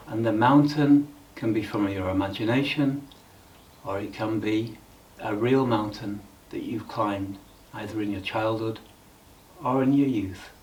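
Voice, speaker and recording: A man leading a guided meditation in a calm, measured, instructional way with frequent pauses. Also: lowish voice, distant mic, rough recording.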